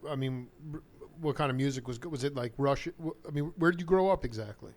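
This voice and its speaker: A man's voice: mid-range voice, fast talker. A man hosting a live radio talk show, rambling easily and calling musicians "cats" as he introduces and questions a guest. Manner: relaxed, rambling, jazz slang like "cat". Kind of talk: loose conversational radio interview.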